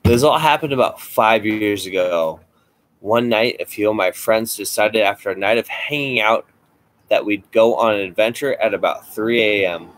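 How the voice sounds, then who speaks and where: A man's voice: lowish voice, normal speed, a bit bright, low-quality recording. A man co-hosting a casual podcast, reading a scary story aloud with swearing and dry asides. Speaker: podcast co-host.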